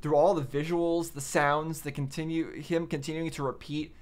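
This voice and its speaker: A man giving casual reaction commentary, thinking aloud in a halting way and repeating phrases. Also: fairly high pitch, little pitch movement. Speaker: reaction video host.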